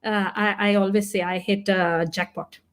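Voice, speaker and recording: A woman's voice: mid-range voice, flat delivery, moderate pace. A woman whose first language is Punjabi, speaking as a podcast guest in an earnest, reflective way, thinking aloud. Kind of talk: casual interview talk.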